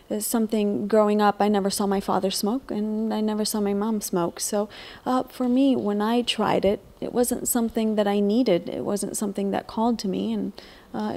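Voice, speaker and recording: A woman's voice: medium pitch, mellow. A woman from Texas, an actress and model, answering interview questions in an earnest, easygoing way. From Texas, USA.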